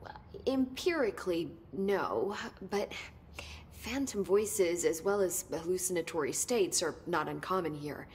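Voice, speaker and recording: An actress playing an earnest, sharp-witted research scientist in a video game, articulate and clinical in her wording. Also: lowish voice, expressive, a little slow, a little hiss or hum. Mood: earnest, analytical. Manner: articulate, clinical wording, emphatic when stirred. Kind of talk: naturalistic video game acting.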